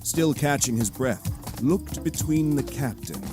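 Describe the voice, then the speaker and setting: A man delivers dramatic scripted narration. His mid-pitched voice rises and falls expressively in a theatrical way, with a cinematic score clearly behind it. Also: lively intonation, takes it slowly. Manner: theatrical storytelling. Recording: cinematic music bed behind.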